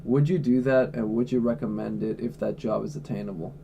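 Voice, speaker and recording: Male streamer at home, casual and blunt, mellow tone, faint background noise. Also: medium pitch, fairly even intonation, fairly quick, vocal fry. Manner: blunt, offhand, swears freely. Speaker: livestreamer answering chat questions. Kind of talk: casual livestream chat. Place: at home indoors.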